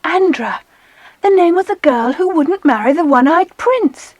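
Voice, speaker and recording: An earnest little girl in a children's audiobook drama. Her voice is very high and breathless, in a dry recording. She is timid and excitable, with worry running through her words. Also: measured pace, dry recording. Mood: excited. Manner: breathless, anxious.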